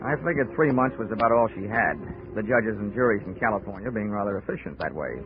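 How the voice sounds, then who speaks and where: An actor playing a wry, hardboiled investigator with deadpan wisecracks in a 1940s radio drama. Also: male voice, fairly low pitch, fast talker, phone-quality sound, over music, lightly acted. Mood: dry, wry. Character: wry, hardboiled male insurance investigator narrating his case. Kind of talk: 1940s radio acting, detective narration. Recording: band-limited old radio recording.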